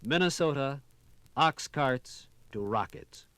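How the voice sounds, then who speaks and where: A man narrating a scripted 1950s radio documentary in a grand, dramatic manner with measured phrasing. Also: mid-range voice, expressive, a bit bright, clean tone.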